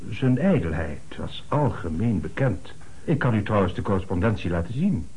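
A middle-aged notary in a radio drama. His voice is low and rough-edged. He talks formally and deliberately, leaning on key words with earnest, slightly indignant weight. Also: big pitch swings, mellow, heavily acted. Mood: grave, emphatic. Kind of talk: radio play acting.

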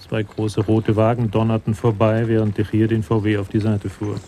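An actor serving as the composed narrator of a German radio crime drama, reading scripted prose. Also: male voice, on the low side, monotone, moderate pace, noisy background, close to an everyday voice. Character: composed storyteller of a police tale.

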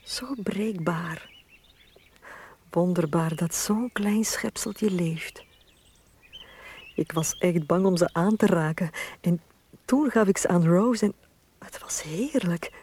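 A woman with a Flemish accent acting a gentle, adoring young wife of small stature, full of wonder, in a radio drama. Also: lowish voice, takes it slowly, long pauses, breathy edge. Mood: tender awe.

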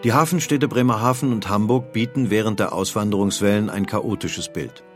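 A man reading scripted documentary narration, deliberate and with dramatic pauses. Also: on the low side, faint music behind.

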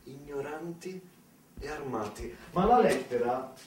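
A man performing a dramatic theatrical storytelling monologue, emphatic, with deliberate pauses and repetitions. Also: on the high side, animated, darkish tone. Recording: roomy, distant mic, faint background noise.

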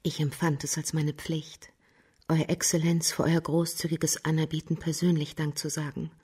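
An actress playing a genteel, reserved widow in a radio crime drama, speaking formally, with deference and composure. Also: female voice, low-pitched, level tone, normal speed, a little mellow, husky. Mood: solemn, deferential.

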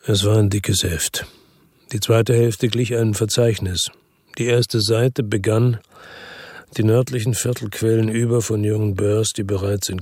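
An actor playing a weary, hard-boiled crime narrator in a first-person radio drama, husky, terse and reflective. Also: male voice, fairly low pitch, a little mellow, no room sound, studio quality.

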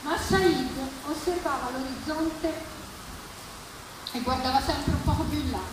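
A woman giving an expressive, theatrical reading of literary prose at a live event. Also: sits high, measured pace. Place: live public reading at a festival, distant mic. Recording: echoey, distant, noisy live event recording.

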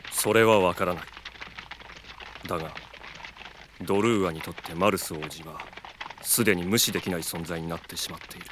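A man playing a cold, stoic swordsman in an audio drama, speaking in grave, formal, deliberate phrases. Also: sits low, noisy background, natural voice. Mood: grave, foreboding. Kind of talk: Japanese audio drama acting.

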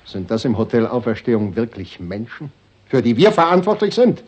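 A suave, self-serving doctor in a 1960s radio play. His medium-pitched voice is slightly rough and husky, delivered with sardonic, cynical scorn. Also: big pitch swings, fairly quick, a little mellow. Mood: scornful. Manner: sardonic, sweeping between smooth and scornful.

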